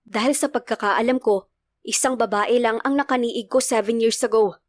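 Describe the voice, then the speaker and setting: A woman's voice: a little high, moderate pace, dry recording, lightly acted. A woman voicing a stern, easily annoyed young father, curt and threatening, in a storybook narration. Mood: demanding. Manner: curt, demanding.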